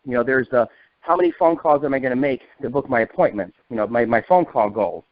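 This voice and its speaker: An American man of forty-five, a folksy fast talker giving a motivational sales training talk over the telephone. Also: mid-range voice, lively intonation, no echo. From northern United States. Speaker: sales agency leader and trainer. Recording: narrow, tinny conference-call phone line.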